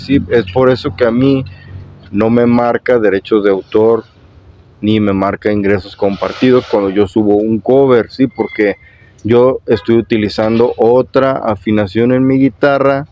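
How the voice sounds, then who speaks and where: Low, husky man, dull lo-fi sound. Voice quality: hoarse, husky. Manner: repetitive, with frequent check-in questions. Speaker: YouTube guitar tutorial host. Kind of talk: informal explanatory vlog to viewers.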